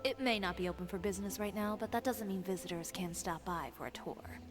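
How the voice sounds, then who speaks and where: An actress playing a composed, confident fashion designer in a video game, with a measured, matter-of-fact delivery. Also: female voice, mid-range voice, lightly acted. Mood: determined. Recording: game music behind the voice.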